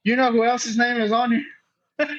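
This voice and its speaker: High-voiced man, casual and sweary. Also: steady tone, unhurried, dull audio. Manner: sweary, slangy, unpolished. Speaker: podcast guest, cornhole player. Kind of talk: casual chat, storytelling.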